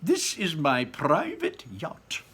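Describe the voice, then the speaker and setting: A man voicing an eccentric, excitable candy factory owner in a storybook, bossy and gleeful, with theatrical drawn-out calls. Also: on the high side, husky, voice pushed up, heavily acted. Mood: proudly beaming.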